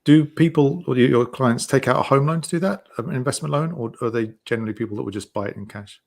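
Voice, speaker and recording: A man's voice: medium pitch, fast, vocal fry, darkish tone. A man co-hosting a finance talk show, asking hesitant questions that he rephrases as he goes. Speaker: co-host. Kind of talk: casual interview chat.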